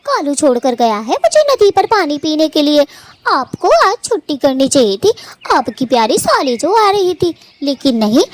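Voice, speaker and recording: High, lively, vain young female bird voiced by a woman. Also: a little hiss or hum. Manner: coquettish, self-admiring, chatty. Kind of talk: animated story dialogue.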